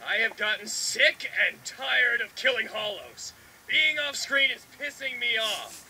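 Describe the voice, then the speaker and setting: A man's voice: very high-pitched, lively intonation, a little slow, pushed and bright, heavily acted. A man voicing a brash, hot-headed, exasperated teenage sword fighter in an anime parody dub. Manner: exasperated, blunt. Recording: noisy, played in a reaction video.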